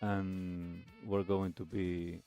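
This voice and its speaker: Male talk host, very unhurried and mellow, reflective commentary in halting, measured phrases, music underneath. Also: deep voice, level tone. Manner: measured, halting phrasing with pauses between short clauses. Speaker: host of a live retro music talk show. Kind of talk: reflective commentary, reacting to a video. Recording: music playing behind the voice. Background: music with guitar.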